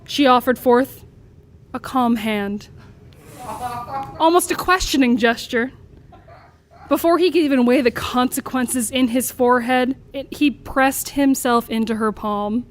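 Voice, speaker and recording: Brief: female comedy storyteller, high voice, live story reading with an amused delivery. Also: a little slow, long pauses. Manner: amused, breaking into laughter as she reads.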